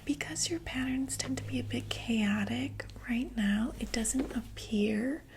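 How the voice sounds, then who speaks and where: A woman whispering breathily in an ASMR medical roleplay, explaining things as a calm doctor in a gentle, hesitant, clinical way. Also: on the high side, unhurried, a little hiss or hum. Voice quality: breathy, mostly unvoiced whisper. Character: calm, attentive doctor to monsters.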